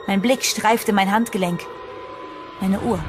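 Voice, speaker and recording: Actress as tense heroine telling her horror story, medium pitch, music behind. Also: fairly even intonation, measured pace, lightly acted. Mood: tense, dramatic. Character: shaken heroine recounting her peril in first person. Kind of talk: dramatic scripted first-person narration. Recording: clear music bed behind narration.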